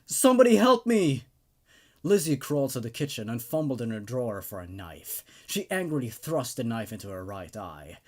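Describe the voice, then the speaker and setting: A man presents horror stories in an expressive, emphatic style. His voice sits at a medium pitch and moves very freely up and down as he narrates or comments. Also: fairly quick, few pauses. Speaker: host reading and reviewing horror stories. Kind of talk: dramatic horror story narration with casual commentary.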